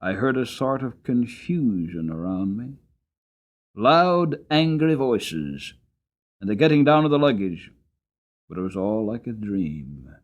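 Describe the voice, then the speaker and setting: Storybook narrator: long-suffering horse acted by a man, slightly low register, very animated intonation, deliberate and earnest. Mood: weary, strained. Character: weary, long-suffering male horse telling his life story.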